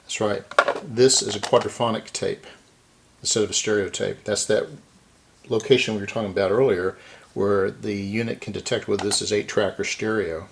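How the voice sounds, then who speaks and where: Low, gravelly man thinking aloud. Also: steady tone, normal speed. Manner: unscripted, thinking aloud while working. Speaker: repair channel host. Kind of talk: casual hands-on repair walkthrough.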